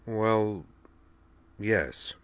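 A man's voice: fairly low pitch, extremely slow, fairly bright tone, clear, resonant tone, no echo. A man voicing an anxious, self-doubting Earthman in a science fiction audiobook, earnest, hesitant and subdued. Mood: miserable, subdued.

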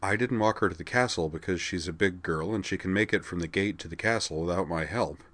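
A man voicing an exasperated young man who turns gentle and apologetic. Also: deep voice, a little fast, no echo. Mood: insistent. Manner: exasperated, then soft and apologetic. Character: exasperated young man, gruff but kind.

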